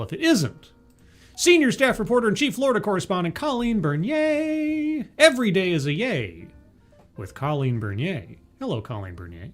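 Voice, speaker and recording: A man streaming live, mocking a talk he is watching with deadpan, stammering asides and greeting his chat. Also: sits high. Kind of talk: sarcastic live commentary.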